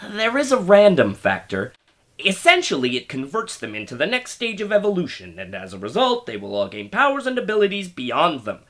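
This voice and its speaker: A man's voice: high voice, wildly swooping pitch, brisk, a bit bright, exaggerated voice. A man playing a grandiose, short-tempered mad scientist villain in a radio play, with theatrical delivery.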